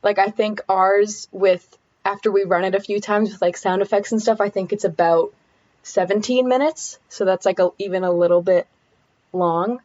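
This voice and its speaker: A woman's voice: medium pitch, fairly even intonation, normal speed, a little mellow, muffled recording. A teenage girl answering interview questions as a student guest, eager and giggly, with lots of fillers. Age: teens.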